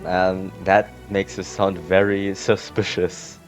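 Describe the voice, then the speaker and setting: Low-voiced man casually commenting on gameplay. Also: level tone, slow. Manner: offhand, joking, musing aloud. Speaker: game streamer. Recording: game music behind the voice. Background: video game music and sounds.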